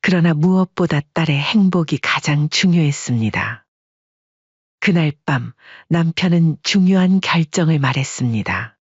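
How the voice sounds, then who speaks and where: A woman narrating a family story in an even, storytelling delivery. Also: sits low, moderate pace, slightly rough, dry recording, dull audio.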